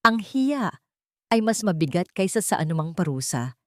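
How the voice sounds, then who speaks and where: Woman, Filipino accent, lower-pitched voice, dry close sound, scripted storytelling, sentimental drama narration. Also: very animated, normal speed, a bit bright, no room sound.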